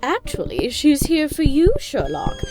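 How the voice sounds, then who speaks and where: A woman's voice: high-pitched, huge pitch swings, measured pace, music in the background, full character voice. A woman playing a fussy pony landlady in a cartoon, motherly and mildly scolding. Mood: fussing.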